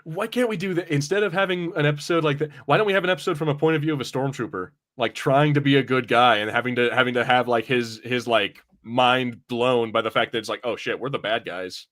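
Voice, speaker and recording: Informal male podcast host, medium pitch. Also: fast talker, few pauses, a bit bright. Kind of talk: casual film and TV review chat.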